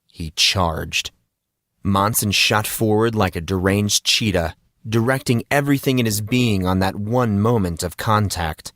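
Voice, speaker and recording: Slow, low-voiced man narrating fantasy. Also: a bit bright, no echo, clean studio sound. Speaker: audiobook narrator. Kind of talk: dramatic scripted fantasy narration.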